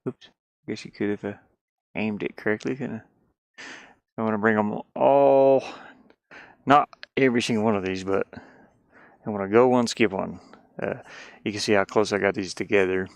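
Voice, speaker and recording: Low gravelly man muttering through a task. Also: fairly even intonation, normal speed, pauses often, no room sound. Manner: muttering, meandering, talking through each step to viewers. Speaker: live streamer doing a DIY build. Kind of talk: casual chat while working, thinking aloud.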